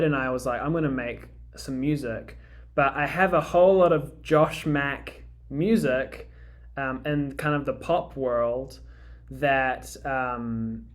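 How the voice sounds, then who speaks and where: A young man from New Zealand with a Kiwi accent, chatting casually as a musician guest on a radio interview, pausing often with ums and restarts. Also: medium pitch. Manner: hesitant, many ums and restarts.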